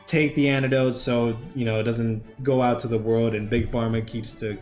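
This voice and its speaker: A man's voice: medium pitch, flat delivery, normal speed, a little mellow. A man co-hosting a movie review, casually recapping the plot in informal, conversational banter. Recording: roomy echo, faint music behind.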